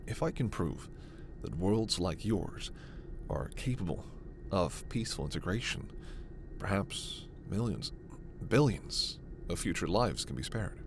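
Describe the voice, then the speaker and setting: A man playing a gentle, earnest alien scientist in a sci-fi ASMR roleplay, speaking in a measured, hesitant, soft way. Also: low-pitched, animated, background noise. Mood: earnest, wistful. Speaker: voice actor.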